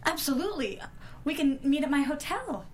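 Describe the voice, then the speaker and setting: A woman plays a spirited, rebellious young heiress in a period drama read aloud. Her voice sits a little high, eager and sociable, over faint room noise. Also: lively intonation, normal speed. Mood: eager, curious.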